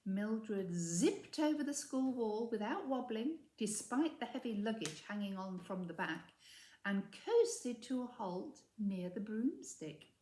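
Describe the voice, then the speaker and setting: Slow-paced woman reading in an echoey room. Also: a little high, sing-song, mellow. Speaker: story reader. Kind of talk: expressive children's storybook narration.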